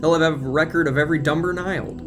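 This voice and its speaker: A man's voice: a little high, steady tone, fast talker, over music, pitched above the performer's own voice, lightly acted. A man playing an irritated, defensive middle-aged father in an audio drama, impatient and indignant.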